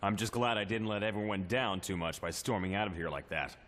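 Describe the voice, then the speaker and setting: An actor playing a deferential young superhero in a motion comic, respectful and earnest, with faint background ambience. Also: male voice, on the low side, a little fast, a bit bright, a little hiss or hum, natural voice. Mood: humble surprise.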